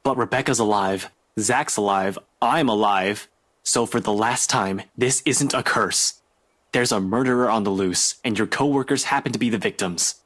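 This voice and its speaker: An actor playing a stern, exasperated young police detective in a visual novel game, brusque and scolding, with a slightly husky voice. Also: male voice, fairly low pitch, normal speed, fairly bright tone. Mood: fed up. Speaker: police investigator. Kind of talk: dramatic visual novel voice acting.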